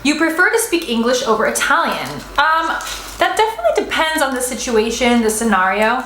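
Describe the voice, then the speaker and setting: A woman's voice: high-pitched, animated, brisk, fairly bright tone, roomy sound, a little hiss or hum, low-quality recording. A young woman in her twenties, vlogging a casual mukbang chat in a restaurant, rambling with many fillers and restarts. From United States. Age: 20s. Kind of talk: casual mukbang chat, answering viewer assumptions.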